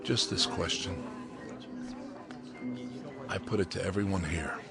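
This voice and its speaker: A man's voice: deep voice, unhurried, vocal fry, loud music behind the voice. An actor playing a defiant, bitter young man of a space colony in a radio drama, speaking in an impassioned, declamatory way. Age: young adult.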